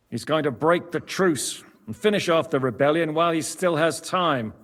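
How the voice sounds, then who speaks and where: A man's voice: on the high side, natural voice. A man as a blunt medieval ex-bandit, reverberant. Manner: frank, sardonic. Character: blunt, wry former bandit in medieval Europe. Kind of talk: dramatic video game cutscene acting. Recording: long hall reverb.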